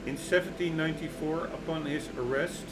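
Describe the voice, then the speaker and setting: Halting man over loud music. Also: on the high side, fairly even intonation, poor audio. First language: Dutch. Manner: halting, searches for words with many fillers; opinionated, gets worked up. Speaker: gaming video creator. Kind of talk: reading game lore aloud with commentary. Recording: loud music bed under voice. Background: loud game music.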